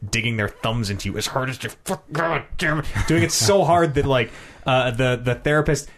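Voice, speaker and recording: A slightly hoarse man co-hosting a podcast, rambling and swearing casually while acting out little quotes. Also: mid-range voice, a little fast, a bit bright, a little hiss or hum. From Canada. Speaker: podcast co-host. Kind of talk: casual chat.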